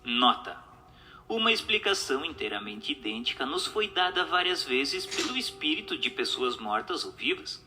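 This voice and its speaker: A man reading a book aloud as narrator, in a formal, measured, didactic way. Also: fairly high pitch, big pitch swings, over music. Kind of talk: scripted narration, audiobook reading.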